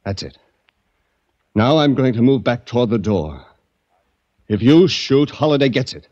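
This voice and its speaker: A man's voice: mid-range voice, animated, a little slow, a little mellow. An actor playing a cold, commanding gunman villain who barks short orders in a 1940s radio drama. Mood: threatening. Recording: muffled old-time radio recording.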